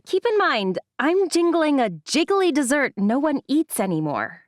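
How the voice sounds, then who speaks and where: A woman's voice: high-pitched, very animated, a little slow, dry recording, clean studio sound, voice pushed up. A woman narrator voicing a prickly, wry young woman in audiobook dialogue, blunt and emphatic. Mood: wry, stubborn.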